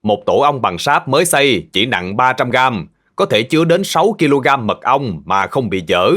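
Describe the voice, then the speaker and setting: Cartoon farm owner, played by a man: friendly adult, animated delivery, gently explains facts to kids. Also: mid-range voice, normal speed. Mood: friendly, instructive. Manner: patient, explanatory, addressing children gently. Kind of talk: children's cartoon dubbing, educational.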